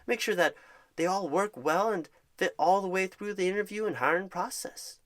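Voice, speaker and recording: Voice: medium pitch, normal speed, fairly bright tone. A speaker in an ASMR roleplay as an upbeat, encouraging job recruiter on a phone screening, talking in a wordy, reassuring way with pet names. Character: happy, eager, encouraging job recruiter and career coach. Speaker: ASMR performer playing a job recruiter and career coach.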